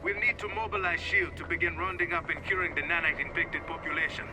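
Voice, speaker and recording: An actor playing a brisk male agency operative in a video game, giving official, businesslike reports. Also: on the high side, noisy background, close to an everyday voice. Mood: businesslike, urgent. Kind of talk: video game cutscene acting.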